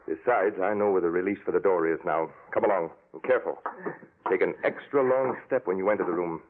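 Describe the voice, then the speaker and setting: A tinny, muffled old radio drama recording of a resolute gentleman investigator. His voice is fairly low and rough-edged, and he gives orders with urgent, commanding determination. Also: fast talker. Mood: determined. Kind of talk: 1940s radio drama acting.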